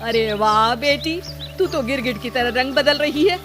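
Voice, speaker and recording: Greedy middle-aged cartoon mother acted by a woman, melodramatic scolder and wheedler, raised pitch. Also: a little slow. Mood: indignant. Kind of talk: cartoon story acting. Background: background music.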